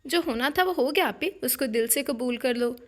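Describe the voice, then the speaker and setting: Woman voicing a gentle, caring younger sister. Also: sits high, lively intonation. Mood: soothing. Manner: soothing, pleading.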